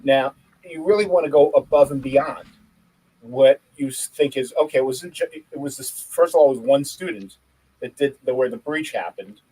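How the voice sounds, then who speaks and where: A man co-hosting a tech podcast, chatting casually and telling a story in an animated, joking way. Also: medium pitch, moderate pace, breathy edge, rough recording. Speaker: podcast co-host. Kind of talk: casual chat.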